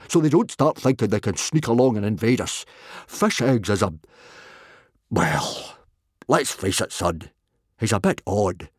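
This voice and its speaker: Big dumb hearty viking dad for a kids story, loud blustery husky voice, pitch jumping all over. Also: male voice, dry recording, studio quality. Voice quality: booming, husky. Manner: roaring, bellowing, blustering. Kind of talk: children's audiobook character voice.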